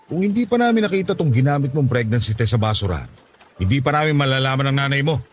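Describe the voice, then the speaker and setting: A man's voice: medium pitch, big pitch swings, fast, faint music behind, close to an everyday voice. A man playing an angry, protective middle-aged father in a radio drama, indignant and scolding. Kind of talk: Tagalog radio drama acting.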